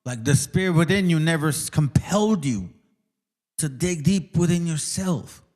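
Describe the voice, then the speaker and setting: A man's voice: on the high side, animated, moderate pace, a little mellow. A man giving informal reaction commentary in a scornful, mocking and profane manner. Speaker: commentator reacting to a played clip.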